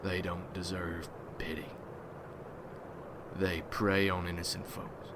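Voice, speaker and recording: A man playing a gruff, ruthless, wary vampire hunter in an ASMR roleplay, with a folksy, brooding, rough-spoken manner. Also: low voice, flat delivery, takes it slowly. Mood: grim. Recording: noisy ambience behind the voice.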